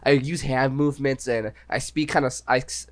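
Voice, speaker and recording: A man's voice: medium pitch, fairly even intonation, fairly quick, a crowd in the background. A young man co-hosting a podcast, talking casually and rambling, often asking "you know what I mean". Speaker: podcast co-host. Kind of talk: casual chat.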